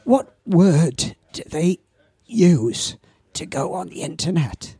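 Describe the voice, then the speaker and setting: A man in his seventies with a Welsh accent and a croaky old voice, chatting as a podcast guest and telling lively stories that repeat words for effect. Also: a little high, slow pace, no echo. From Wales. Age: 70s. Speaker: guest, veteran surfer. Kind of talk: casual anecdotal chat.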